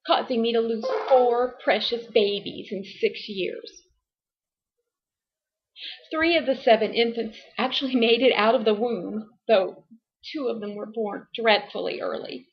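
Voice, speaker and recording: Slow, halting, distraught wife played by a woman. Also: fairly high pitch, dull audio. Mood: wounded, bitter. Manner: halting, deliberate, emotionally strained. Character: distraught abused wife and grieving mother confessing to a detective. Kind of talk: dramatic confession monologue.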